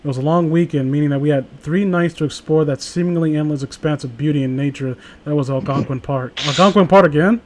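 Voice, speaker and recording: A man's voice: on the high side, quick pace, hardly any pauses, a little mellow. A man reading a story aloud casually with a friend, joking, swearing and breaking off for sarcastic asides. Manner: sarcastic, profane, interrupts own reading with asides.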